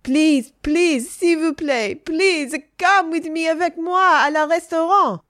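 A woman's voice: medium pitch, animated, unhurried, dry recording, clean studio sound, lightly acted. A woman voicing a melodramatic French male teacher in a story, lovestruck, pleading and theatrical. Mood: gushing, pleading.

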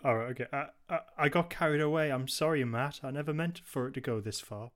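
A man's voice: medium pitch, fast. A man co-hosting a podcast, jokey and self-mocking as he reads lines in a script read-through. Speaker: podcast host.

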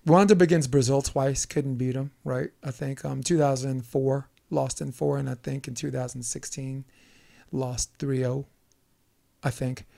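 A young adult man hosting a casual podcast, joking and rambling with quick asides. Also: medium pitch, fairly even intonation, vocal fry, mellow. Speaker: podcast host.

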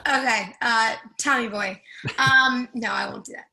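Chatty woman, bright sharp voice, kinda high, casual group discussion. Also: fairly even intonation, measured pace, vocal fry, poor audio. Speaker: discussion participant. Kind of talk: casual chat.

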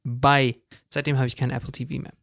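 A man's voice: medium pitch, little pitch movement, fairly quick, creaky, a bit bright, no room sound, clean studio sound. A man co-hosting a podcast, chipping in briefly with laid-back, casual remarks. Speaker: podcast co-host. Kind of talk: casual conversational podcast chat.

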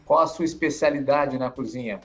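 A man's voice: medium pitch, fairly quick, gravelly, faint music behind. A man hosting a podcast interview, asking short, prompting questions. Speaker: podcast host.

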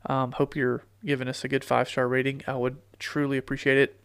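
A middle-aged man with a stuffy, congested nose talks into a podcast mic, rambling along with fillers and restarts. His voice stays smooth and clear despite the cold, and the sound is dry and close. His pitch barely moves, so the delivery runs level and even. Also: a little fast, no room sound. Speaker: podcast host. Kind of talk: casual solo podcast talk. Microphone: studio podcast microphone.

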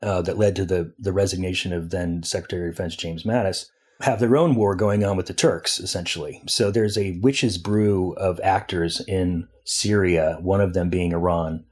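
A man's voice: low voice, fairly even intonation, moderate pace, husky. A man speaking as a foreign news editor and interview guest, giving a long analytical answer with frequent fillers and restarts. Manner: discursive, many restarts and fillers.

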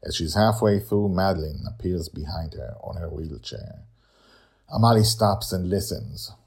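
Animated, husky, low-voiced male storyteller. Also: unhurried. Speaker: podcast host and storyteller. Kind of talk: dramatic podcast introduction and story narration.

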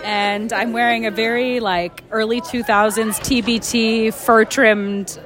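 A woman fan, slightly hoarse from screaming, chatting playfully outdoors. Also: fairly high pitch, steady tone, measured pace, fairly bright tone. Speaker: concert fan. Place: outdoors outside a theater. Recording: noisy outdoor crowd.

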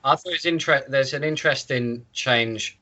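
Bright-voiced man chatting on a podcast. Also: medium pitch, moderate pace, rough recording. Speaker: podcast co-host. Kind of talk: casual book discussion.

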